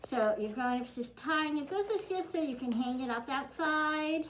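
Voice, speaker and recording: High-voiced woman teaching a children's craft. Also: normal speed, fairly bright tone. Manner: simple step-by-step instructions addressed to children; encouraging. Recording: roomy echo, clearly noisy, clicking.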